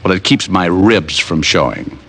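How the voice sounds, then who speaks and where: A flip American private detective from an old radio drama. His voice sits a little low but moves freely up and down as he tosses off deadpan wisecracks. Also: normal speed, gravelly, slightly rough, full character voice. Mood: glib, bantering. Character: wisecracking, cash-strapped male private detective.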